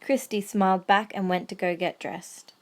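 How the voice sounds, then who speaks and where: A woman serves as narrator of an audio drama. Her voice sits at a medium pitch as she reads scripted story passages. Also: steady tone, moderate pace.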